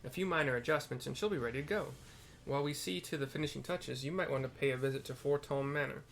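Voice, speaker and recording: Mid-pitched male, a player reading aloud, formal archaic fantasy character, game quest dialogue. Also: fast, gravelly, fairly bright tone, a little hiss or hum. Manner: formal, archaic wording read out.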